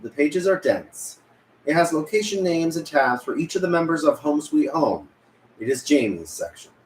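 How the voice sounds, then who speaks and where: Male table-read narrator; animated pitch, scripted delivery, echoey recording. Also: moderate pace. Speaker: narrator reading stage directions. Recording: echoey room, faint noise.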